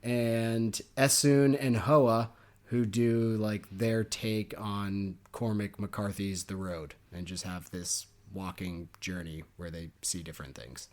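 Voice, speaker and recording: A man's voice: lowish voice, slow pace. A young man co-hosting a book podcast, chatting casually and jokily, laughing often. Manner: jokey and animated, laughs often. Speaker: podcast co-host.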